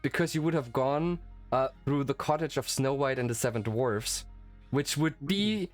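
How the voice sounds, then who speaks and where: A man's voice: medium pitch, moderate pace. Rambling, enthusiastic man, native German speaker, music behind. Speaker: game master and host. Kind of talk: casual post-game chat. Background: faint background music.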